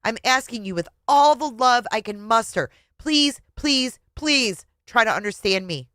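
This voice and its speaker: A woman voicing an exasperated wife in a story, pleading and high-strung as she begs to be understood. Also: big pitch swings, measured pace, fairly bright tone, no echo, clean studio sound.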